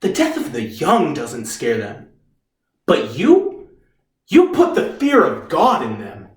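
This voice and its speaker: A man performing as a cool military lawyer in a stage drama. He speaks deliberately, with cutting, probing condescension. The sound is very echoey and set back from the microphone. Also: on the high side, huge pitch swings, exaggerated voice. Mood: grave. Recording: echoey room, distant microphone.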